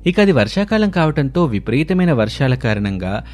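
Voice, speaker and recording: A man's voice: mid-range voice, big pitch swings, moderate pace, darkish tone. A man reading a scripted children's story as its narrator. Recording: music under the voice. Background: background music.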